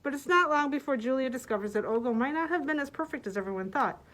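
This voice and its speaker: A woman's voice: sits high, animated, quick pace, a bit bright. A woman librarian recommending books, partly reading their summaries aloud. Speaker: librarian presenting book picks.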